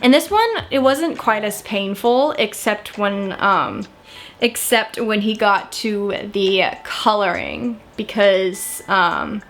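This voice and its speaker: A woman's voice: medium pitch, slow, fairly bright tone. An American woman in her twenties chatting casually to camera, rambling and often correcting herself. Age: 20s. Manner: chatty, rambling, frequent self-corrections and small laughs. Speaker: vlogger.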